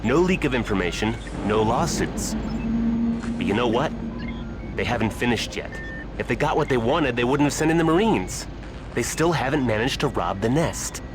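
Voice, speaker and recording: A man's voice: mid-range voice, animated, normal speed, heavy background noise, close to an everyday voice. An actor playing a weary, cynical scientist in a video game, resigned and ironic as he explains. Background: music.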